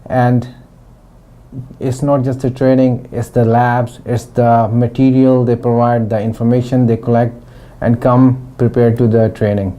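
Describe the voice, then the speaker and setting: A middle-aged man from Pakistan giving a testimonial interview about his training, talking in a halting way with frequent restarts. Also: medium pitch, flat delivery, warm tone. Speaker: former student giving a testimonial.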